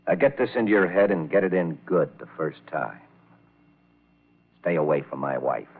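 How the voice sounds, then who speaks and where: An actor playing a gruff, jealous, bossy nightclub owner in an old-time radio crime drama, with a gravelly, husky voice. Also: male voice, rumbling low, expressive, normal speed, full character voice. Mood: menacing. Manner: blunt, bossy, menacing. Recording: tinny, narrow-band vintage radio recording.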